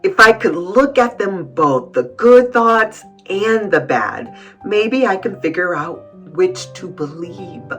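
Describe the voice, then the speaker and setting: Woman reading as an earnest young boy, slow and swooping. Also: medium pitch, expressive, fairly bright tone, soft background music. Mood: thoughtful. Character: worried young boy pondering his thoughts, earnest. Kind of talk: storybook read-aloud.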